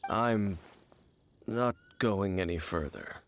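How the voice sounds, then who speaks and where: A man voicing a stern, aloof, world-weary warrior in a fantasy video game, speaking in a measured, guarded, solemn way. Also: low-pitched, slight background noise. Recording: game music behind the voice.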